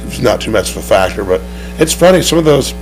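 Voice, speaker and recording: A man with a hoarse voice and an Oklahoma accent, a race track promoter talking in a TV studio interview, upbeat and rambling with many restarts. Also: on the low side, sing-song, quick pace, faint music behind, rough recording.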